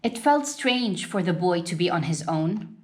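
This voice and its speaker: A woman reading a children's picture book aloud as a storyteller, with expressive, dramatic delivery. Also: lowish voice, measured pace.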